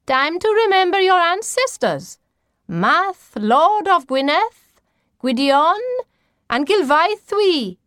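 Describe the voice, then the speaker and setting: Woman playing a commanding eccentric grandmother, high pitch, singsong grand pronouncements, storybook character, dry recording. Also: big pitch swings, slow, fairly bright tone, no echo, heavily acted. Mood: portentous.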